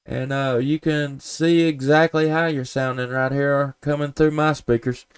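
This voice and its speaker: A man's voice: medium pitch, fairly even intonation, a little slow, a little hiss or hum. A man with a Southern US accent chatting and calling as an amateur radio operator, folksy and polite. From Tennessee, United States. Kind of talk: casual ham radio chat and calling.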